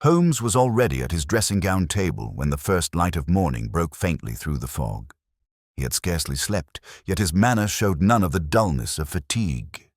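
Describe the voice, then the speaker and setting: A man reads dramatic detective fiction in an extremely deep voice. His intonation is lively and varied, and the sound is dry, with little room around it. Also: no echo. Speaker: audiobook narrator. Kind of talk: scripted dramatic story narration.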